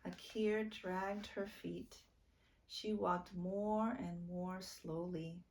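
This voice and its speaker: Woman, children's story narration, lowish pitch, creaky voice, expressive storytelling. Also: very slow, rough recording. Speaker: storytime reader. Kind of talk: children's book read-aloud narration.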